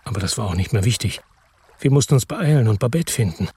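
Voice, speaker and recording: An actor playing a weary hard-boiled man who narrates his own crime story in a radio drama, terse, brooding and husky. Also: fairly low pitch, animated, fast talker, warm tone, no echo, exaggerated voice. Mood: grim, focused.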